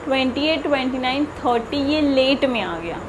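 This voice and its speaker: A woman teaching a spoken English lesson, mixing in English example sentences that she reads out slowly and carefully. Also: high voice, animated, background noise. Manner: slow, deliberate example sentences with frequent "theek hai".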